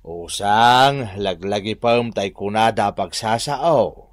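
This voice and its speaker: A male actor playing an exasperated but patient village captain, admonishing in a measured way, with an Ilocano accent, in a radio comedy drama. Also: on the low side, no echo, clean studio sound. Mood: gentle, advising.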